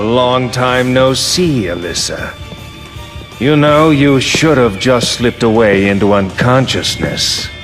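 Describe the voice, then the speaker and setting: A man playing a cocky, menacing enemy fighter in a game, with a taunting, drawn-out, theatrical delivery. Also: medium pitch, fairly bright tone. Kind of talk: dramatic game cutscene acting.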